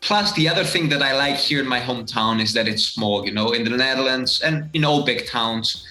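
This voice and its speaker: A man from Greece in his thirties, a fitness coach answering interview questions in a relaxed, reflective way with many fillers. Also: mid-range voice, a little fast, roomy sound, soft background music, poor audio. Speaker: fitness coach and channel host.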